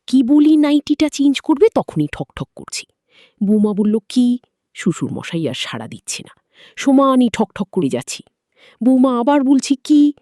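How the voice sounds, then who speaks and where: Lively-pitched woman telling a story. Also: no room sound, clean studio sound. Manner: conversational, repetitive, with filler words. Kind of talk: informal dramatized story narration.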